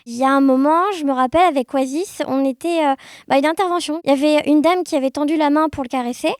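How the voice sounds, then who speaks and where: A woman chatting casually as an interview guest, telling anecdotes in an animated, conversational way. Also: high-pitched, quick pace, no room sound, clean studio sound. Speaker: podcast guest, blind guide dog user sharing experiences.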